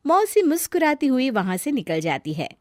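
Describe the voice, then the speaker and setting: A woman narrator reads a scripted tale in storybook fashion. Her delivery moves up and down with lively intonation, and the recording is close and dry. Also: high-pitched, normal speed, no room sound.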